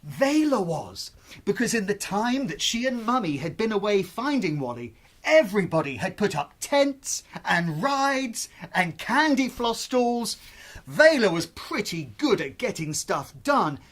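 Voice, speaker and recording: A storyteller narrating a children's bedtime tale in an expressive, suspenseful, deliberate way. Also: medium pitch.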